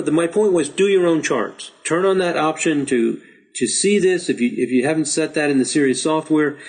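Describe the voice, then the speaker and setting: A middle-aged man who is losing his voice, patiently walking learners through a software chart tutorial and urging them to practice. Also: medium pitch, slightly rough, rough recording. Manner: patient, repetitive, encouraging. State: slightly hoarse, losing his voice. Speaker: astrology teacher. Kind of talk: screen-share software tutorial.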